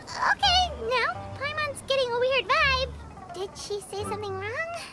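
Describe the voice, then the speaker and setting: A woman voicing a tiny, excitable childlike floating fairy companion in a video game. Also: very high-pitched, a little slow, over music. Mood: uneasy, whiny. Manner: childlike, speaks of herself in third person.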